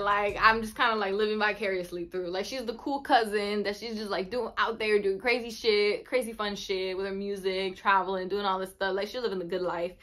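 A woman in her twenties, a native Spanish speaker, chatting casually to camera in an expressive, slangy way with Spanish words mixed in. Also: medium pitch. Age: 20s. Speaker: vlogger. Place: small bedroom indoors. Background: instrumental music.

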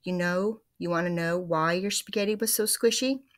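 A woman voicing a nasty, gleeful old woman in a children's storybook, taunting in a louder, higher voice. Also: normal speed, close to an everyday voice.

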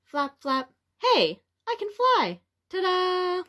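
A woman's voice: very high-pitched, pitched above the performer's own voice, full character voice. A woman voicing a cheerful young caterpillar that becomes a butterfly in a picture book, speaking expressively for young children. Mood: excited. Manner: slow, expressive, simple exclamations for young children.

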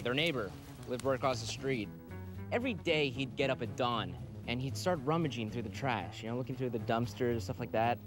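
A man playing a cocky, wisecracking guy who tells friends a crude, slangy tale with dramatic pauses. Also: medium pitch, natural voice. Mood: teasing, wry. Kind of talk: casual storytelling in a film-style drama. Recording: music behind the voice.

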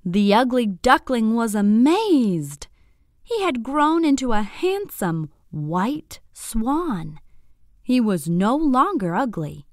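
A woman narrating a scripted bedtime story for young children in an expressive, deliberate, clearly phrased way. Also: a little high, no room sound, clean studio sound.

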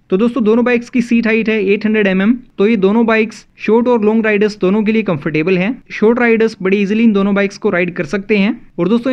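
Indian male vlog host, high voice, rapid delivery, scripted narration, familiar address to viewers. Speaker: motovlog host. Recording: dull, slightly lo-fi voice-over.

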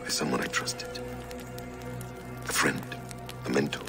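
An actor playing a grieving, betrayed warrior in a video game, speaking in a hushed, halting, brooding way. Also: male voice, over music, close to an everyday voice. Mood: quiet grief.

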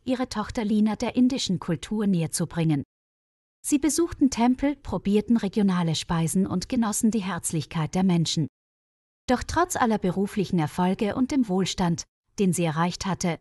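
A woman's voice: medium pitch, a little mellow, no echo, clean studio sound. A woman reading a scripted story for language learners, enunciating carefully. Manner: carefully enunciated, read-aloud delivery. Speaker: narrator.

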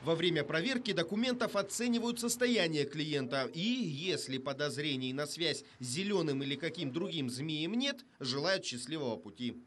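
A man narrates a television news report in a fairly high voice. His delivery is scripted and polished, with a wry, ironic edge. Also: lively intonation, moderate pace, a bit bright, a little hiss or hum.

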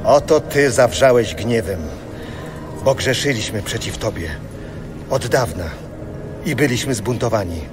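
An actor playing a solemn prophet pleading with God in a dramatized audio Bible. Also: male voice, mid-range voice, unhurried, long pauses, background noise, lightly acted. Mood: fervent pleading. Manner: solemn, beseeching delivery. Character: pleading prophet lamenting his people's sins to God. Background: music.

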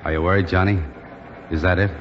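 A man plays a brash young air cadet in a 1940s radio play. He is friendly and slangy, ribbing his pal in a fairly low voice. The old broadcast is dull, with some noise behind it. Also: steady tone, fast, lightly acted. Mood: concerned, probing.

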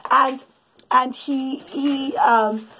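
Middle-aged mom, expressive storyteller, high voice, teaching children as she goes; noisy home recording. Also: very slow. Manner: expressive, emphatic, didactic. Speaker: mother telling bedtime stories to her children. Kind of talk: animated storytelling with asides to children. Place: small children's bedroom, indoors. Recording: clicky home recording with background noise.

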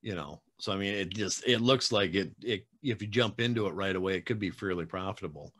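A man in his forties with a lowish voice hosts a relaxed interview over a webcam call from his home room. He talks in a chatty, rambling way, full of fillers and light teasing. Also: fairly quick. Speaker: podcast host. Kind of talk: casual video-call interview. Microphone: webcam video call.

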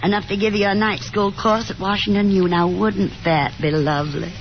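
A woman's voice: mid-range voice, steady tone, normal speed, close to an everyday voice. An actress playing a fussy, talkative, hopeful middle-aged mother in a 1950s radio drama, with a dramatic, insistent manner. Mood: wistful chatter. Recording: dull, noisy 1950s radio broadcast.

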